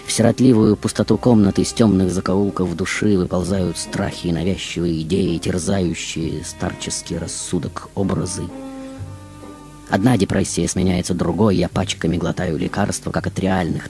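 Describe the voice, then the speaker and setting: An aged, theatrical film star, acted by a man addresses the audience in a stage monologue. The voice is low-pitched and the delivery deliberate and declamatory. Faint music plays behind the words. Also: steady tone, vocal fry, warm tone. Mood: melancholy, theatrical. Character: aged, reclusive, theatrical female film star looking back.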